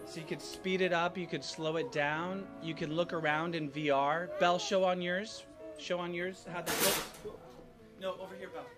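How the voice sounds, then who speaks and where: A woman's voice: on the low side, steady tone, moderate pace. A woman reading scripture aloud and directing children in an instructive way, repeating short directions. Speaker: parent leading a family Bible reenactment. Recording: distant mic with music underneath.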